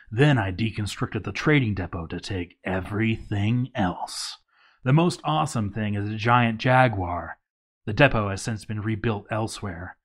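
A man reading a written video game playthrough aloud as scripted narration, announcing events with some theatrical flair. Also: lowish voice.

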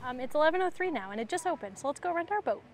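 A woman with a high voice hosts a casual travel vlog in an upbeat, playful way. She chats to viewers out in the open, with faint ambient noise behind her. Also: animated, rapid-fire. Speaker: vlog host. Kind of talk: casual, chatty travel vlogging. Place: outdoors, mostly on a boat on a lake.

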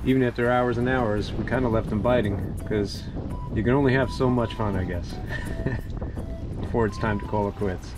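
A man narrating his kayak fishing day in a relaxed, reflective voice-over. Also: fairly low pitch, moderate pace, rough recording. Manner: easygoing, reflective. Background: music.

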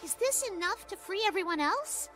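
A woman's voice: extremely high pitch, expressive, normal speed, a bit bright. A woman voicing a perky, devoted young hedgehog girl in a video game, eager and girlish. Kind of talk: animated video game cutscene acting. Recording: music under the voice.

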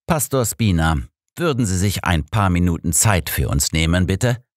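Audiobook old master detective read by a man: low-pitched, precise, self-assured, explanatory. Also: animated, normal speed, dry recording, studio quality. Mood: questioning. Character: old master detective, analytical, sure of himself.

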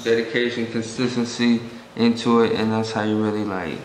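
A man's voice: lowish voice, level tone, brisk, a little hiss or hum. Easygoing male interviewer, echoey room. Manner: easygoing, stammers and repeats. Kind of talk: casual interview talk.

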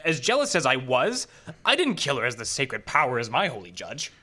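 A man's voice: mid-range voice, wildly swooping pitch, fairly quick, bright, forceful tone, exaggerated voice. A man playing an indignant, jealous murder suspect in a radio drama, defensive and melodramatic. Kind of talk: old-time detective radio drama acting.

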